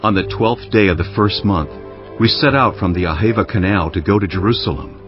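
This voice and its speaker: A man playing a solemn, devout priest and leader recounting his journey in a dramatized scripture audiobook, with measured, reverent delivery. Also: lowish voice, lightly acted. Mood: solemn, instructing. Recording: dull audio under background music.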